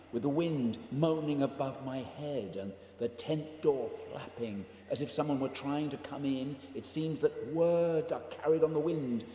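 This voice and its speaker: A man's voice: a little high, mellow, close to an everyday voice. A man acting in a radio play as a brooding, burdened leader of a polar expedition, grave and introspective. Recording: echoey reverberant room, faint noise.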